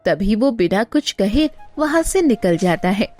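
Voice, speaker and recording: A woman's voice: mid-range voice, big pitch swings, a little slow, warm tone, faint music behind. A woman narrating a scripted cartoon moral story as its storyteller.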